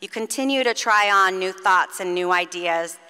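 A woman's voice: medium pitch, bright, forceful tone, smooth. A middle-aged woman giving an earnest, encouraging commencement speech at a lectern microphone. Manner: earnest, encouraging, measured address to graduates. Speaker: faculty commencement speaker. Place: large concert hall stage at a lectern. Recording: long hall reverb.

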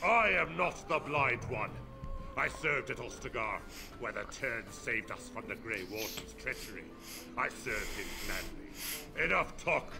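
An actor playing a menacing, self-righteous armored soldier captain in a fantasy video game, commanding and contemptuous. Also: male voice, mid-range voice, expressive, unhurried, creaky, low-quality recording. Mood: defiant, commanding. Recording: game music behind the voice.

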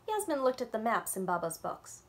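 Young female children's librarian, bubbly read-aloud storyteller, home webcam sound. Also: fairly high pitch. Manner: animated and expressive, drawn-out storytelling. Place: home room with bookshelves. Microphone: webcam on a video call.

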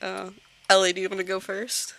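A woman's voice: mid-range voice, monotone, fairly quick, creaky, fairly bright tone, rough recording. A woman streaming a game, chatting casually with friends and laughing often between lines. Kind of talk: casual gaming chat. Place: home streaming setup.

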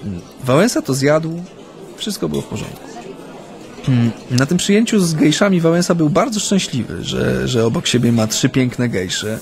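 An actor in a radio play playing a former union activist who recounts his memories in a hesitant, halting way. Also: medium pitch, expressive, creaky, a little mellow. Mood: amused recollection. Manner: hesitant, halting, frequent drawn-out hums. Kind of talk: radio play acting, interview-like recollection.

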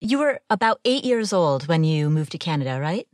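Woman, from Ohio, podcast host, expressive pitch, dry close sound. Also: normal speed, dry recording. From Ohio, USA. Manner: lively, expressive questioning. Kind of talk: scripted intro and interview questions.

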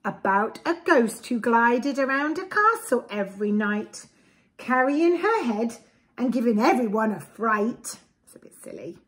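A woman in her forties with an English accent, reading a rhyming picture book to young children in an expressive, deliberate way, with simple asides. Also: on the high side. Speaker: preschool storyteller. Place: small indoor room with bookshelves. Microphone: camera a short distance away.

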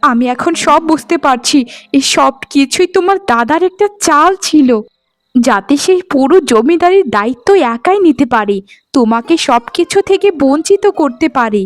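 A woman plays a devoted young bride in a cartoon folk tale. The voice is extremely high, earnest and plaintive, with soft music behind it. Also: expressive, moderate pace. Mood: anguished. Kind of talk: cartoon storytelling dialogue.

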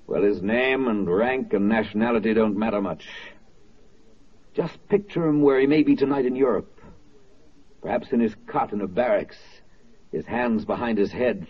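Husky, solemn radio narrator. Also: male voice, fairly low pitch. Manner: oratorical, deliberate dramatic phrasing. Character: solemn, rhetorical narrator addressing the listener. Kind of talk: 1940s dramatic radio narration. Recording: dull vintage radio recording, faint music.

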